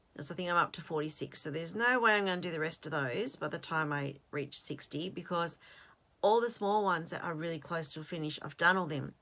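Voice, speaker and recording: Chatty Australian woman, bright voice. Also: deep voice. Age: 50s. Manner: chatty, rambling, self-deprecating with fillers and restarts. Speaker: host. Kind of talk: casual chat.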